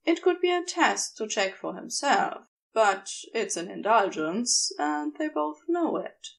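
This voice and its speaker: Female audiobook-style fiction narrator: measured, expressive storytelling, very varied pitch, noticeable creak. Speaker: podfic narrator.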